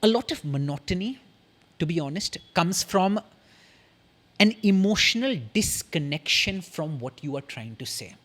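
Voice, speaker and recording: A young man with an Indian English accent, a podcast guest explaining communication in an articulate, measured way, pausing often between sentences. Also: sits high, lively intonation, a bit bright. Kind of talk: casual explanatory interview talk.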